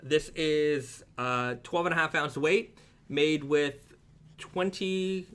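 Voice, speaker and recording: A man's voice: fairly high pitch, slow pace, a bit bright, a little hiss or hum. A man chatting casually as a live stream host, talking through products in a rambling, easygoing way.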